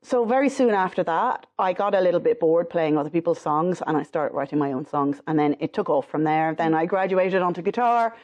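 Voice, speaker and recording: A middle-aged Irish woman with a low alto voice, chatting as an interview guest in quick, self-deprecating bursts. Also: a little mellow, muffled recording. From Ireland. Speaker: singer-songwriter guest. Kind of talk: casual interview chat.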